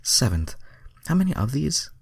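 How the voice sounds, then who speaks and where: A man's voice: mid-range voice, very animated, normal speed, vocal fry, breathy edge, no echo, studio quality. A man narrating fan fiction as a dramatic reading, breaking off into casual asides to his viewers.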